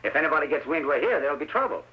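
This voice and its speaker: A plucky frontier town doctor, with a rather high male voice that swings up and down. He talks in folksy, excitable western phrases, as heard in an old radio serial. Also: rapid-fire, a little hiss or hum, poor audio. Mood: uneasy. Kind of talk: vintage radio western acting. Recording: old radio broadcast recording.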